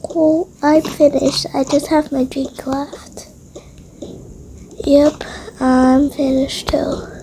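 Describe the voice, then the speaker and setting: High-pitched, very slow delivery, halting improvised roleplay, several pretend characters, home recording. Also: flat delivery, mellow, slight background noise. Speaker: storyteller acting out toy roleplay.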